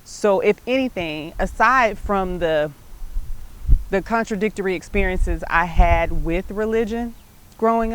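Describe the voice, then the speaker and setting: A woman's voice: fairly low pitch, smooth. A middle-aged American woman hosting her show, telling personal stories about life and faith in a conversational, emphatic way. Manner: conversational, emphatic, often checking in with "right?". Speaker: show host.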